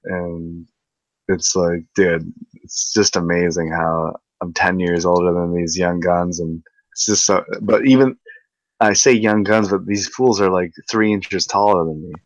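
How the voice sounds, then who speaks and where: Man in his thirties, low voice, dry close sound, laid-back and stammery. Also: fairly even intonation, unhurried, no room sound, low-quality recording. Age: 30s. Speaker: livestream co-host. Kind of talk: casual chat.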